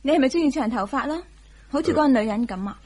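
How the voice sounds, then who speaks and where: An actress playing a sweet young wife who is eager to please, in a radio drama. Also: female voice, high-pitched, animated, quick pace, a little mellow, husky. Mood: sweet, playful. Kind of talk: Cantonese radio drama acting.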